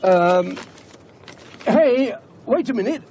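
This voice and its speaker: An actor playing an anxious, haunted British professor in a radio drama, speaking politely with hesitations and stammers. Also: male voice, very high-pitched, expressive, slow, a little mellow, a little hiss or hum, heavily acted. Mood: uneasy. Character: anxious, haunted male professor, out of condition and fraying.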